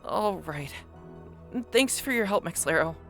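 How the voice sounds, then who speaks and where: Woman as an earnest student, very animated pitch. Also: a little slow, soft background music. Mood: polite, deflated. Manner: earnest, dramatic. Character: determined, earnest young academy student seeking revival spell. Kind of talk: Minecraft roleplay voice acting.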